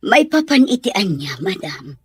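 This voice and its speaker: A woman's voice: mid-range voice, sing-song, measured pace, husky, exaggerated voice. A woman acting as a respectful, concerned household helper in an amateur audio drama, asking questions deferentially. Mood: curious.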